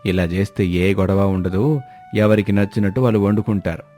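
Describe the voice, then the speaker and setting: A man voicing a calm, accommodating older father-in-law in a family story. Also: on the low side, brisk, soft background music, voice pushed down, close to an everyday voice. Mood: calm, resigned.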